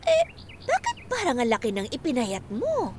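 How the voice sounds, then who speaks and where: Shrill, nosy Filipino neighbour woman. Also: wildly swooping pitch, normal speed, noisy background. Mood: nosy surprise. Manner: gossipy, exclamatory. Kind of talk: Filipino radio drama acting.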